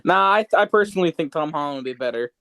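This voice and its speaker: A man with a fairly high voice and very lively intonation banters on a podcast. He is casual, teasing and sarcastic with the others. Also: fast, noisy background. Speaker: podcast co-host.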